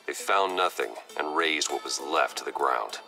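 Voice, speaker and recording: An actor playing a brooding, determined American vigilante in a video game, speaking tersely and gravely. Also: male voice, rumbling low, animated, normal speed, bright, forceful tone, exaggerated voice. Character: brooding, determined masked vigilante, a grown man. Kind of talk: dramatic video game acting. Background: faint background music.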